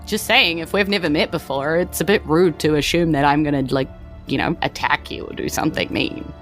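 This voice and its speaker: A woman narrating a tabletop game as game master in an informal, animated storytelling way. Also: deep voice, fairly quick, soft background music.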